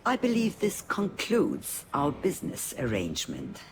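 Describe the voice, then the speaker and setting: An actor voicing a sly, haughty otherworldly broker in a video game, formal and coolly condescending. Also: male voice, high voice, huge pitch swings, unhurried, exaggerated voice. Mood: cool, pragmatic. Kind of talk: video game character dialogue. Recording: game audio with background ambience noise.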